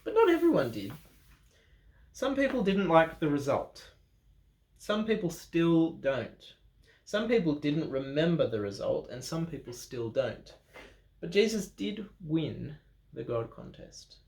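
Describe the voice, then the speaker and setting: Slow, expressive male storyteller in echoey room. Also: on the high side. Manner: dramatic pauses, expressive emphasis, playful ad-libbed asides. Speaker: storyteller reading a children's Bible picture book. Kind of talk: animated read-aloud storytelling for children. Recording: roomy, echoing room sound.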